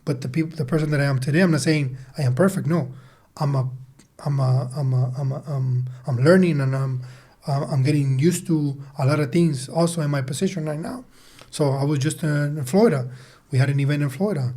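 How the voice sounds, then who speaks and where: Mellow, higher-pitched man in his thirties. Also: fairly quick. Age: 30s. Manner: emphatic, repeats words for stress. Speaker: podcast guest, sales team leader and entrepreneur. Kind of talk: conversational interview answers.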